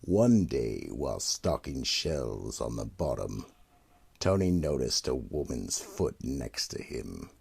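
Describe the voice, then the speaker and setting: A man playing a sinister horror-anthology narrator, the Keeper of the Vault, with a deep gravelly rasp and slow, ominous delivery. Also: very animated, darkish tone, exaggerated voice. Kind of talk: 1940s-style radio horror narration.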